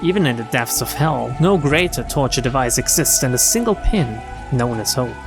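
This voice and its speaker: A man's voice: mid-range voice, lively intonation, moderate pace. A man streaming a game, reading visual novel narration and dialogue aloud in a dramatic storytelling style. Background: game music.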